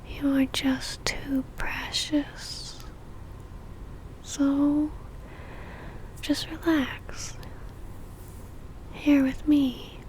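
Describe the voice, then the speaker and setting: A woman plays a lovestruck female vampire stalker in an ASMR roleplay, whispering softly and breathily right up at the mic. Her voice is high and barely rises or falls, moving slowly and intimately as she coaxes with possessive tenderness. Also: low-quality recording. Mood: coaxing, possessive. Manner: slow, intimate, coaxing. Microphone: close ASMR microphone.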